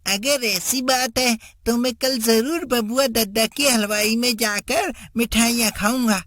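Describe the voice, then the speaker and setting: A woman's voice: on the high side, steady tone, a little slow, fairly bright tone, slight background noise. A woman voicing an innocent, earnest young schoolboy in a children's cartoon story.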